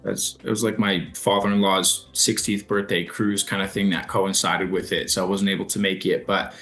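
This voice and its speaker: A man in his thirties from Alberta, Canada, answers questions as a podcast guest. He talks in a relaxed, plainspoken way, and his voice sits low. Faint music plays under him, and he speaks into a studio podcast mic. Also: moderate pace. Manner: relaxed, many fillers and restarts, plainspoken. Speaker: sales manager guest. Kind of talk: casual interview answers.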